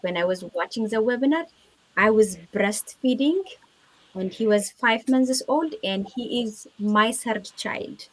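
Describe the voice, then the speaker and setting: A woman from Ethiopia speaks as a guest on a live video call. She tells her story in a clear, composed way and repeats key points for emphasis. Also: fairly high pitch, measured pace, poor audio. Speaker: guest business owner. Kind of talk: live online testimony.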